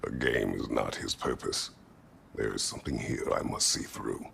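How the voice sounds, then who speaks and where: A man playing a grim, weary warrior in a video game, gravelly and speaking in terse, halting, solemn phrases. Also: extremely low pitch, a bit bright, a little hiss or hum, heavily acted. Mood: solemn resolve. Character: grim, weary, battle-hardened male warrior seeking understanding. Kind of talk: dramatic video game acting.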